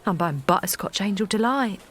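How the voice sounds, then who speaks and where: An actress playing Linda, an exhausted young mother, who narrates and talks in a halting, apologetic way. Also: mid-range voice, expressive, breathy edge, soft background music. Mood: weary. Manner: halting, apologetic, often softly spoken. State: tired, overwhelmed. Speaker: narrator and lead character. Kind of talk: audio drama acting.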